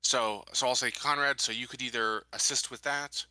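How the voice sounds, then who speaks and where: A man playing a tabletop roleplaying game, improvising his character's words in a halting, tentative way. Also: mid-range voice, fairly even intonation, bright, forceful tone, a little hiss or hum, low-quality recording.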